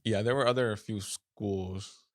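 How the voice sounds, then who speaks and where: A man's voice: lowish voice, steady tone, measured pace. A young man from Honduras answering interview questions as a college athlete, in casual phrasing full of "like" and "you know". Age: young adult. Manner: filler-heavy, informal phrasing with frequent "like" and "you know". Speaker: college soccer player, podcast guest.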